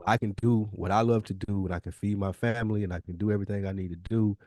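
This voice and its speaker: Lowish-voiced man giving casual, emphatic advice. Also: steady tone, fast, dark tone, no echo, clean studio sound. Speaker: podcast co-host.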